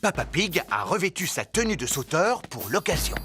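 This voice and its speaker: Kids' cartoon narrator, cheerful, lively intonation, music underneath. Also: male voice, fairly high pitch, normal speed. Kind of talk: scripted children's cartoon narration.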